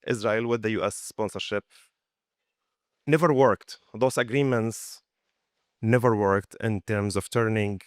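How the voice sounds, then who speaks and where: A man's voice: on the low side, no room sound, studio quality. A man from Syria asking the panel a question from the audience, polite and emphatic.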